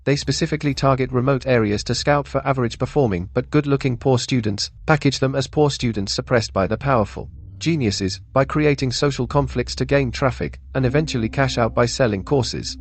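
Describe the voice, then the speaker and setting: Casting: man for serious undercover investigator, medium pitch, reporting plainly, close dry sound. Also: fairly quick, hardly any pauses, dry recording, lightly acted. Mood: grave, informative. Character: earnest male investigator exposing a crooked company. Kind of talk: dubbed drama acting.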